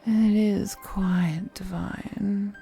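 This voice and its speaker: Woman acting as ancient vampire noblewoman, super slow seductive murmur, breathy and half whispered, flirty predator, music behind her. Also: mid-range voice, steady tone, dark tone. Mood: flirtatious. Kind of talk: intimate audio roleplay acting.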